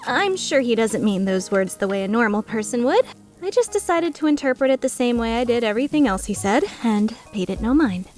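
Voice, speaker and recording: A woman's voice: fairly high pitch, animated, fairly quick, darkish tone, soft background music, lightly acted. A woman playing a flustered, skeptical young woman who narrates her own story introspectively in a fan-dubbed drama. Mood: embarrassed, wondering. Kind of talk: fan dub drama with first-person narration.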